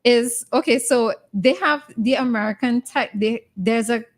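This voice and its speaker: A woman's voice: a little high, unhurried. A woman with a Vincentian accent co-hosting a casual morning radio chat, blunt and opinionated.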